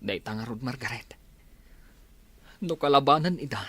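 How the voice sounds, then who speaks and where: A woman's voice: very deep voice, moderate pace, fairly bright tone, slightly rough, full character voice. A woman with an Ilocano accent acting a concerned, supportive companion in a village radio drama. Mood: consoling. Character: Marson, concerned supportive companion of grieving Margaret.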